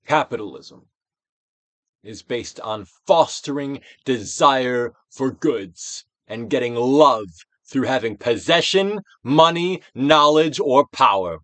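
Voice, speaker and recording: A man reading a book aloud as a theatrical dramatic performance, in a solemn, deliberate, declamatory manner. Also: mid-range voice, expressive, pushed and bright, no echo. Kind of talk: dramatic audiobook reading, theatrical Shakespearean delivery.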